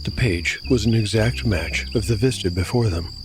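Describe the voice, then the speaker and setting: A man narrating a scripted audio drama as game master, with a measured storyteller's delivery. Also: on the low side. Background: music.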